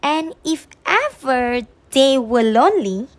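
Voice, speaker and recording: Woman, children's read-aloud narrator. High voice, very animated swings, drawn-out phrasing. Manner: expressive, drawn-out delivery for young children. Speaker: storybook reader on a children's channel.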